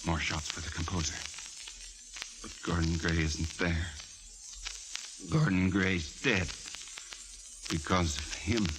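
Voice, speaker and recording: An actor playing a grandiose, unstable composer in a theatrical 1940s radio drama style, with rhapsodic delivery and dramatic pauses. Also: male voice, deep voice, poor audio. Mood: resigned, bitter. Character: grandiose, unstable male composer, feverish and doomed. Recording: old 1940s radio recording, music behind.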